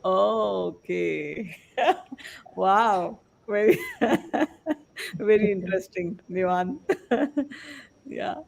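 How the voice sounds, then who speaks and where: A man's voice: sits high, very slow, creaky. A man hosting a casual panel discussion in an encouraging manner, often voicing approval. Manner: encouraging, frequent affirmations. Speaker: podcast host.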